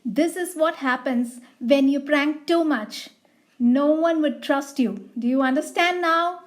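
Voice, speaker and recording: A woman playing a scolding mother in an animated moral story. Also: high-pitched, a little slow. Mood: stern, concerned. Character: scolding mother of a prankster girl.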